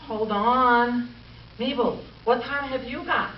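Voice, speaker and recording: A woman's voice: a little high, fairly even intonation, slow, slight background noise. Lady doing a sardonic phone operator gal, old radio comedy style, distant dull echoey recording. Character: sardonic, wisecracking female telephone operator. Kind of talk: 1940s radio comedy acting. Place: live stage show before an audience.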